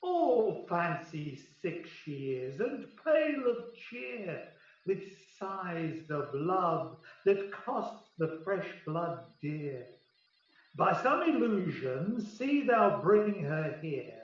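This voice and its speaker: A man's voice: high voice, very animated, very slow, heavily acted. A man playing a commanding fairy king in a Shakespearean radio play, chanting verse in a stately, incantatory way. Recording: very echoey, distant, slightly dull.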